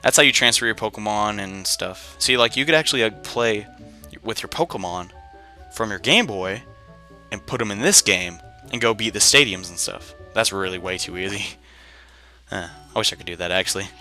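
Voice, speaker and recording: A man's voice: fairly low pitch, moderate pace, bright, forceful tone, faint music behind. A young man giving casual, rambling game commentary full of slang and jokey asides. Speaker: gaming video host. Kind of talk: casual let's play commentary.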